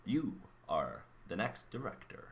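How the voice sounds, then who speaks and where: Man playing a calm, low-pitched robot. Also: creaky, background noise, heavily acted. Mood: calm, blunt. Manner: measured, matter-of-fact, formal. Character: mind-reading male robot, calm, blunt, curious about human emotions. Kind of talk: radio play acting.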